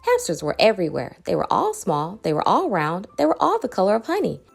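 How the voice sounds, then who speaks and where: A woman reading a children's storybook aloud in an expressive, dramatic way with varied emphasis. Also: mid-range voice, a little fast, creaky, husky, soft background music. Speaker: children's book reader.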